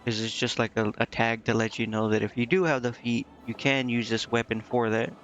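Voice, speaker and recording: A man's voice: mid-range voice, little pitch movement, brisk, highs cut off, faint music behind. A man streaming a video game, thinking aloud in a casual, hesitant way as he mutters to himself.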